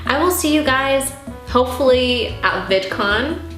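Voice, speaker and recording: A woman's voice: high voice, animated, a little slow, a bit bright. A young woman whose first language is Armenian, hosting a casual question-and-answer vlog in an earnest, encouraging way. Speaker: YouTube host answering viewer questions. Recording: roomy echo, music behind.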